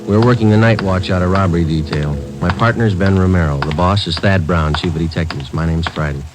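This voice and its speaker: An actor playing a terse male police detective who narrates in a clipped, flat, matter-of-fact way in a 1950s radio drama. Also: low voice, a little fast, natural voice. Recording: old radio broadcast with music beneath.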